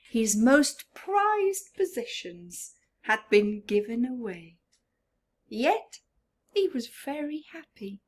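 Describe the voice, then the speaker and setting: A woman reading a children's storybook aloud expressively, with chatty asides and often hushed tones. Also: mid-range voice. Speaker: storyteller, teacher-like reader.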